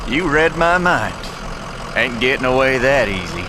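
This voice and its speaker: An actor playing a gruff, hot-tempered American truck owner in an audio drama, talking in a folksy, indignant way. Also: male voice, mid-range voice, sing-song, a little slow. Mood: grudging resolve. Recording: clear background noise, vehicle ambience.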